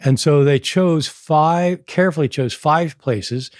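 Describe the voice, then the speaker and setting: A man's voice: fairly high pitch, slow, dry recording. Older American man, guest scholar, anecdotal storyteller, dead-dry sound. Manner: chatty and anecdotal, laughs often. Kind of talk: storytelling in a podcast interview.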